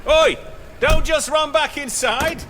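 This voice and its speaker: An actor playing a blunt, teasing member of a research team in a naturalistic radio drama. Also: male voice, very high voice, slow, background noise. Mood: irritated, straining. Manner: blunt, cheeky, needling.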